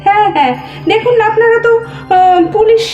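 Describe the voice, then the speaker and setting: A woman playing an excitable, sceptical questioner in an audio drama, challenging a suspect. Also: very high-pitched, normal speed, echoey room, highs cut off, soft background music. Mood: sceptical, mocking.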